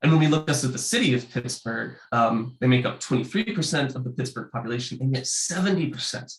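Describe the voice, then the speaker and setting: A man's voice: medium pitch, quick pace. A man with a cold, presenting slides for an advocacy group, sniffly and hesitant with many ums. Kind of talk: panel presentation over slides. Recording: echoey room sound.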